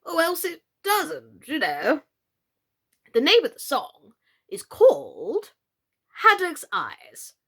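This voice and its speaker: A gentle, foolish old storybook knight, as a woman acts him out, speaks in slow, drawn-out phrases. The delivery is doleful yet eager and fussy, swinging widely up and down with theatrical earnestness. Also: sits high, wildly swooping pitch, pitched above the performer's own voice, heavily acted. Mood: earnest, eager. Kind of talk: children's storybook character voice.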